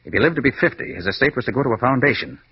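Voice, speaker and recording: An actor playing a confident, shrewd master detective in an old radio drama, speaking with brisk authority. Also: male voice, medium pitch, big pitch swings, breathy edge, no room sound, full character voice. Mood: brisk, deductive. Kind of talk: 1940s-50s radio drama acting. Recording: muffled, noisy vintage radio recording.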